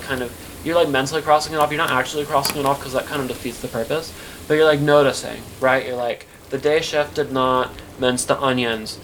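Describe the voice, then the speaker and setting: A man playing a disgruntled, defensive night-shift kitchen worker in a halting, rambling conversational monologue for an audio drama. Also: medium pitch, fairly bright tone, a little hiss or hum, close to an everyday voice, poor audio. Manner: halting, rambling, self-interrupting, filler-heavy.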